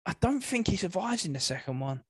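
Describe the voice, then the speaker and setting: A man's voice: high-pitched, sing-song, fairly quick, husky, no echo, studio quality. A man in casual podcast conversation as co-host, thinking aloud with some hesitation. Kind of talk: casual chat about video game movies.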